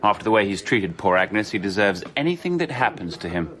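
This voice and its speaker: An actor playing a bitter, indignant young upper-class Victorian gentleman with a clipped English accent in a radio drama. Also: fairly low pitch, sing-song, brisk. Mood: scornful.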